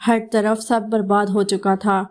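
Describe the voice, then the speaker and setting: A woman's voice: mid-range voice, flat delivery, unhurried. A woman giving scripted narration of a children's moral story.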